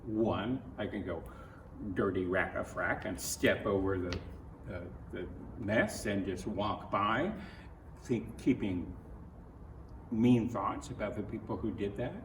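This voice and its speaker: A man from Ohio with an American accent reads a picture book aloud to children, adding his own earnest, gentle comments in halting phrases. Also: lowish voice. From Ohio, United States. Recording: roomy echo, distant mic, faint noise.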